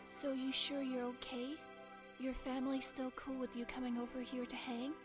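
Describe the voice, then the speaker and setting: Visual novel girlfriend played by a woman: high voice, young, attentive, stiff robotic calm, retro slang, music underneath. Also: moderate pace, close to an everyday voice. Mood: flatly soothing. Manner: flat, emotionless, robotic delivery. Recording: music behind the voice.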